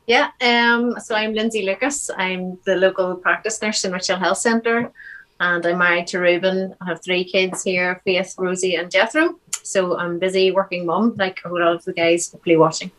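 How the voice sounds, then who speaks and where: A woman, a nurse guest, introducing herself in a brisk, matter-of-fact way. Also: lowish voice, fairly even intonation, a bit bright, low-quality recording. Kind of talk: casual chat.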